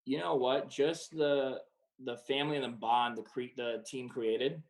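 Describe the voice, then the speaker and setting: Male, 20s, pro athlete guest, relaxed and rambling, average pitch. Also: measured pace, poor audio. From Croatia and the United States. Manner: relaxed, rambling with many fillers. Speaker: professional soccer player. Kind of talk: casual interview answers.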